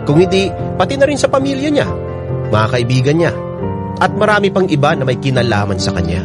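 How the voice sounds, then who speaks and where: A man's voice: a little high, big pitch swings, fairly quick. A man reading a listener's letter as scripted, dramatic first-person narration on a radio drama program. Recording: loud music bed under the voice. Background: loud music.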